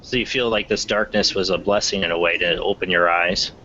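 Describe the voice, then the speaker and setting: A man with a low voice hosts a call-in show. He talks casually and informally, with a joking, self-deprecating manner. The recording is a little dull with faint noise. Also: steady tone, fairly quick, pushed and bright. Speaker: talk show host. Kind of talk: casual chat, hosting an open-line show. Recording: muffled online call, faint background noise.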